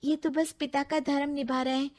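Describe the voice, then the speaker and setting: A very high, youthful female voice put on by a storyteller for a devoted daughter-in-law. She speaks humbly and earnestly, always pleading to be understood, in the style of a dramatised moral tale. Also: little pitch movement, normal speed, darkish tone, close to an everyday voice.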